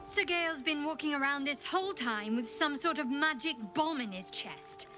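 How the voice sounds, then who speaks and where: A devout young dark priestess, speaks in a slightly high voice, measured and dry. She is a guarded, solemn fantasy game figure with soft music underneath. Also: expressive. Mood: dry, irritated. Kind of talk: video game character acting.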